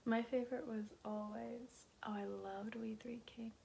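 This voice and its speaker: Woman, ASMR bedtime storyteller, breathy whisper, higher-pitched, unhurried, hushed, musing, faint background noise. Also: level tone. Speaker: ASMR host.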